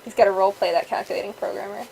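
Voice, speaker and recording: A woman's voice: mid-range voice, steady tone, racing, mellow, slight background noise, rough recording. A woman explaining and commenting casually during a let's play with friends.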